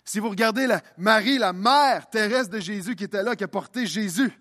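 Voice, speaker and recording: A man's voice: sits high, fairly quick, fairly bright tone. A young man preaching a sermon as pastor, repeating phrases as his delivery builds in intensity.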